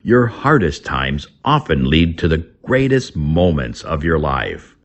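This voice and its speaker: A man with a very low, gravelly voice reads an English lesson at an unhurried pace. His delivery is deliberate and teacherly, yet his intonation swings widely from phrase to phrase. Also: big pitch swings. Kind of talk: scripted lesson narration, slow read-aloud.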